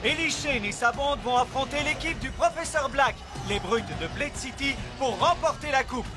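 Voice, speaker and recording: An actor playing an excitable male sports announcer doing play-by-play commentary in a cartoon. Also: very high-pitched, moderate pace. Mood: excited. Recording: loud music and crowd behind.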